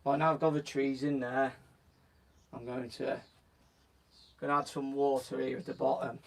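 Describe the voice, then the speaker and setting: Croaky man talking through a painting. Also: medium pitch, normal speed. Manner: relaxed, talks while working, frequent "so" and "just gonna". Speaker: painting instructor on a live stream. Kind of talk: casual step-by-step demonstration. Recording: voice from a distance from the mic, faint background noise.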